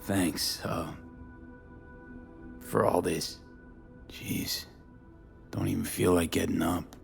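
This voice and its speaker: An actor playing a brooding, world-weary male street mercenary in a video game, with a gravelly, low-key, terse delivery. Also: steady tone, takes it slowly, faint music behind, full character voice. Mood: weary, reflective. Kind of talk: naturalistic video game acting.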